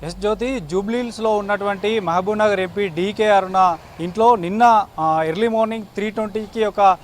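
A male field reporter delivers a live, unscripted report. He is halting and repetitive, dropping in English words, with faint city traffic noise around him. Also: high-pitched.

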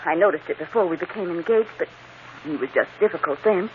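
A fretful, frightened young woman, played by an actress in melodramatic radio style. Her halting delivery swings widely in pitch. The recording is thin and boxed-in, with faint noise. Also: lively intonation, lightly acted. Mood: troubled, hesitant. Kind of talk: old-time radio drama acting. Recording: tinny, noisy old radio broadcast.

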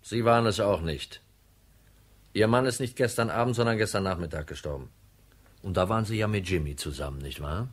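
An actor playing a cool, shrewd insurance detective in a radio crime drama, matter-of-fact and in control. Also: male voice, on the low side, fairly quick, close to an everyday voice. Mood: calm, explaining.

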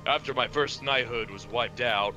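Man doing a loyal knight commander, formal, declarative, mid pitch, fantasy game, music underneath. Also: moderate pace, pushed and bright, highs cut off, close to an everyday voice. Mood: proud, dutiful. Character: loyal knight commander reporting to his king.

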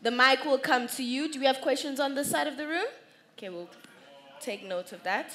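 An energetic young South African woman hosts from a stage, her voice pitched high and bright with enthusiasm. She speaks into a headset mic and calls out to the students in the audience. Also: brisk, soft background music. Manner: upbeat, energetic, addresses the crowd directly. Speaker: event host. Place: large exhibition stage, indoors.

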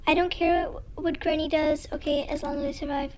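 A woman's voice: high-pitched, little pitch movement, fairly quick, darkish tone, slight background noise. A young woman giving casual, nervous commentary as she plays a horror game, with an informal, jumpy manner. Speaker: gamer, video creator.

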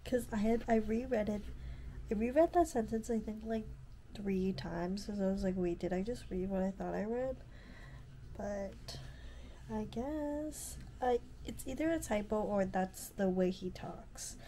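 A woman of nineteen talks to a close camera in her bedroom, her nose stuffed up from allergies. Her tone is mellow and warm, loosely strung together with fillers, and a faint room ambience hums behind her. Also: mid-range voice, unhurried, a little hiss or hum. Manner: chatty, lots of "like" and "um", easygoing. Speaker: vlogger. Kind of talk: casual reading vlog chat. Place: bedroom, indoors. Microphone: camera at close range.

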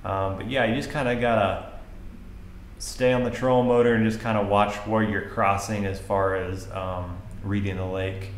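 A roomy, echoey voice-over from an American man with a fairly low voice. He explains things casually and off the cuff as a fishing guide. His delivery is hesitant, as if working it out while he talks. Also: little pitch movement, normal speed, slight background noise. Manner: hesitant, casual, thinking aloud. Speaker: fishing guide video host.

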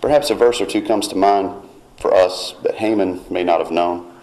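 A man preaching a sermon in church, retelling a scripture story in a measured way and reading verses aloud with rising emphasis. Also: sits low, darkish tone, poor audio.

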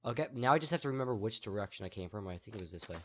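A young man rambles cheerfully as he plays, thinking aloud with plenty of fillers. His voice sits fairly low and sounds worn out from a long day of talking. Soft mouse clicks tick along in the background. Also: animated, racing, a little hiss or hum. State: voice tired and strained. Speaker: gaming YouTuber. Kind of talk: casual gameplay commentary.

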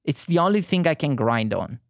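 A man's voice: fairly high pitch, sing-song, dry recording, studio quality. A man in his thirties with a southern Italian accent, whose first language is Italian, chatting casually on an art live stream and often restarting his sentences. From Italy. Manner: halting, frequent restarts and fillers, friendly. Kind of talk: casual live-stream chat while painting.

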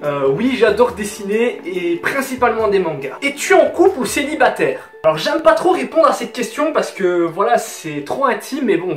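Teenage Belgian man chatting in a roomy bedroom. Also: high-pitched. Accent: Belgian French, Hainaut. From southern Belgium. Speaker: YouTuber answering viewer questions. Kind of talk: casual chat to camera. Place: small bedroom, indoors. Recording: roomy bedroom sound, music underneath. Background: music.

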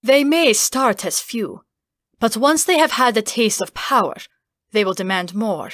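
A woman acts a stern fantasy statesman, grave and distrustful. The warning tone is measured audiobook acting with a dry, close sound. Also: fairly high pitch, fairly bright tone, no echo.

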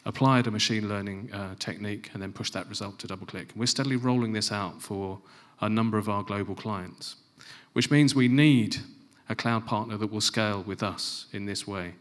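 A middle-aged man giving a conference presentation on a large stage into a handheld mic, stressing key phrases and dropping to quieter asides. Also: fairly low pitch, normal speed. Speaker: conference speaker. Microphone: handheld microphone.

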